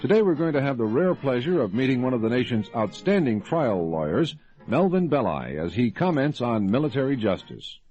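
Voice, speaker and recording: A man's voice: mid-range voice, sing-song, a little fast, darkish tone, soft background music. An American man reading scripted announcements as a radio host. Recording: dull band-limited vintage radio recording.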